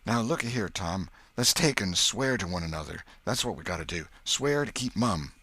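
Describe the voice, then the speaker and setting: A man's voice: deep voice, lively intonation, normal speed, pushed and bright, no room sound, pitched above the performer's own voice, full character voice. A man voicing a worldly, wary village boy in an audiobook, with blunt, slangy, opinionated rustic speech. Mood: insistent.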